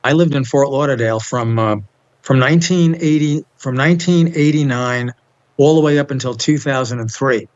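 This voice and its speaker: A man's voice: medium pitch, normal speed, muffled recording. A man in his seventies from Long Island with a New York accent, chatting as an interview guest and wandering through his memories. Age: 70s. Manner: rambling, restarts and searches for names. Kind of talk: casual interview.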